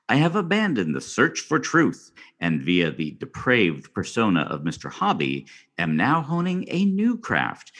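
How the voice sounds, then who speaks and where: A man's voice: medium pitch, a bit bright, full character voice. An actor playing a florid, theatrical literary scholar on a scripted podcast, savouring every word as he reads aloud. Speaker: guest expert on a podcast.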